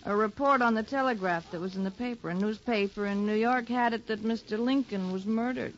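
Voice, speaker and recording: An actress playing a loud, tipsy, insistent tavern woman in a 1950s radio drama. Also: a little high, fairly quick, slight background noise, natural voice. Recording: dull old 1950s radio recording.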